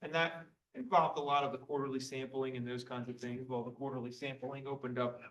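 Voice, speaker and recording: A man giving the manager's report at a township board meeting in an even voice, with many ums and uhs. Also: mid-range voice, fairly quick, distant mic, rough recording. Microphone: table microphone.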